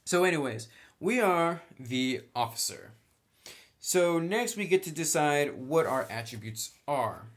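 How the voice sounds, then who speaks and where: A man's voice: on the high side, animated, measured pace, a bit bright. Man casually walking through a tutorial. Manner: thinks aloud while writing on a sheet. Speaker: host of a tabletop game tutorial. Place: indoors at a table.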